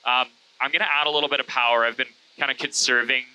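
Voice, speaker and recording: A man speaks at a medium pitch with a very bright, piercing tone. He hosts like an easygoing pilot, chatting and giving simple hands-on instructions in informal language. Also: steady tone, fast talker, smooth, rough recording. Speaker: host, pilot. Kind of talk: casual chat and hands-on instruction. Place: small airplane cockpit in flight.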